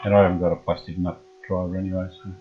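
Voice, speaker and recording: Man, low voice, dull lo-fi audio, relaxed workshop narration with fillers. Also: rapid-fire, background noise. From Australia. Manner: relaxed, thinking aloud with frequent fillers. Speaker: radio restorer.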